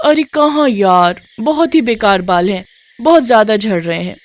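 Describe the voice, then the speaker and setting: A woman's voice: mid-range voice, lively intonation, moderate pace, close to an everyday voice. Woman voicing a fretful, vain young village woman. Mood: falsely modest.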